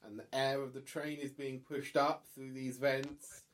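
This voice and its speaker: A man narrating a tabletop horror game as game master, improvising in a conversational, halting way and thinking aloud. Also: mid-range voice, little pitch movement.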